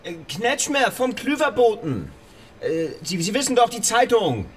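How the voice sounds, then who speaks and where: An actor playing an awkward, nosy small-town newspaper reporter in a radio play, stammering and over-polite. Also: male voice, high voice, big pitch swings, a little slow, a little hiss or hum. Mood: flustered, pleading. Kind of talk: German radio play acting.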